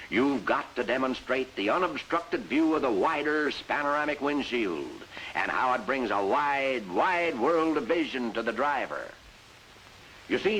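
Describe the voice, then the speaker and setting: An actor playing a bossy, emphatic sales mentor who narrates and coaches in a 1950s industrial training film. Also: male voice, medium pitch, a bit bright, a little hiss or hum, close to an everyday voice, low-quality recording. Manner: instructive, emphatic, salesmanlike delivery. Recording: vintage 1950s film soundtrack with music.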